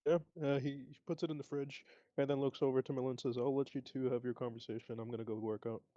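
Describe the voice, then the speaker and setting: A man's voice: mid-range voice, little pitch movement, fast talker, mellow, no echo, dull audio. A man speaking casually as a player in a tabletop roleplaying game, describing his character's words and actions.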